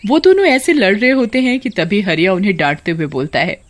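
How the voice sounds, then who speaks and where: A woman's voice: mid-range voice, lively intonation, a little fast. A woman reading scripted narration for a story.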